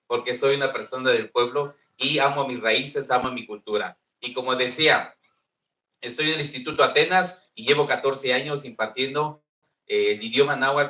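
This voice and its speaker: Mexican man, Nahuatl native, bright earnest panel speaker, heard from across an echoey room. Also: medium pitch. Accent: Mexican Spanish. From Veracruz, Mexico. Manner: earnest, repeats himself, proud. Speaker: Nahuatl teacher and contest winner. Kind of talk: formal speech at a panel. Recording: echoey room, distant mic.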